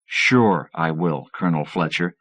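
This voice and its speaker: A man's voice: on the low side, moderate pace, lightly acted. A man voicing a haughty, scornful male professor with a pompous, sarcastic delivery in an audiobook. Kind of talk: acted audiobook dialogue.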